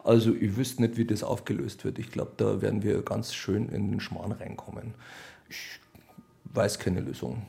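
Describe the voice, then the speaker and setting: Give the voice of a Bavarian man in a casual interview. He speaks low with a gravelly creak, in a colloquial and wry way. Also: steady tone, normal speed, dark tone. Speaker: radio play author and director being interviewed.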